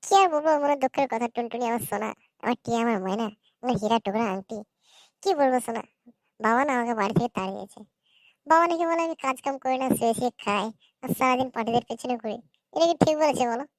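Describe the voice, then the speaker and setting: A woman's voice: on the high side, quick pace, dry recording, close to an everyday voice. A woman voicing a cheeky, foul-mouthed, lovelorn young son in a comedy cartoon, brash, quarrelsome and whining. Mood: pleading, wheedling.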